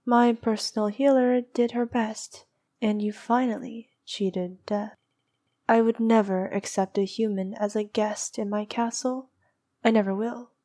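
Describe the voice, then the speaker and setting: A haughty vampire princess, acted by a woman in an ASMR roleplay, with a fairly high yet mellow voice. She speaks very slowly and softly, in a regal, intimate way. Her manner is teasing and noble, with a needy edge. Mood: composed, serious. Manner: soft, regal, teasing, sometimes dropping to a whisper.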